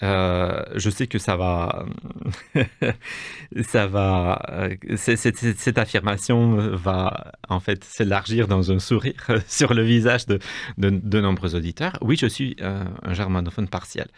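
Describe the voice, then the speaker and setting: A man's voice: low-pitched, slow, no room sound, studio quality. A man with a Romanian accent, a guest in a radio interview, speaking hesitantly with many fillers and restarts. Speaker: guest, cultural institute deputy director. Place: radio studio.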